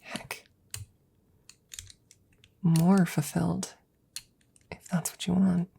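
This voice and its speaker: A woman playing a worried, devoted, nerdy scientist best friend in an ASMR audio roleplay, speaking softly and breathily up close, often whispering, in a hesitant, rambling, reassuring way. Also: on the low side, flat delivery, very slow, gravelly, slight background noise, heavily acted. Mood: tender, earnest. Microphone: close microphone.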